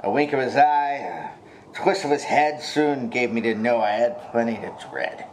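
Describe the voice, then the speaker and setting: A man's voice: mid-range voice, moderate pace, darkish tone, slight background noise, natural voice. A man playing a tough, wary, wisecracking gang boss in a hard-boiled noir radio comedy, speaking tersely. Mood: grim narrating.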